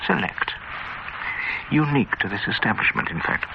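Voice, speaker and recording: An actor playing a pompous older male gourmet, a club regular who talks in a smug, measured, teasing way in a radio drama. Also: lowish voice, animated, vocal fry. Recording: lo-fi old radio drama, heavy hiss.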